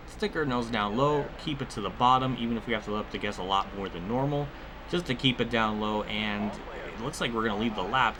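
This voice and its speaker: A man's voice: mid-range voice, sharp tone, a little hiss or hum. A man giving casual commentary over a racing video game, rambling and often cutting himself off with exclamations. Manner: rambling, self-interrupting, exclamatory. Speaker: gaming video host.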